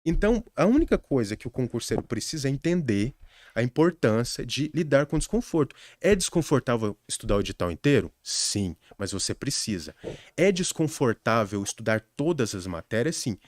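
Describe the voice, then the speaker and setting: Young adult Brazilian man, podcast guest; earnest, animated, dry studio mic. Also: mid-range voice, moderate pace, dry recording. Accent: Brazilian Portuguese. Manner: earnest, animated advice-giving with frequent restarts. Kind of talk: casual podcast conversation.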